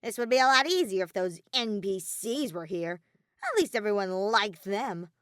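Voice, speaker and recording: A woman's voice: mid-range voice, animated, moderate pace, fairly bright tone, clear, resonant tone, dry recording, clean studio sound, lightly acted. A woman voicing a mischievous, flippant robotic bear villain in a dramatic audiobook reading, theatrical, grumbling and cartoonish. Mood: exasperated. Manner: matter-of-fact, theatrical, grumbling.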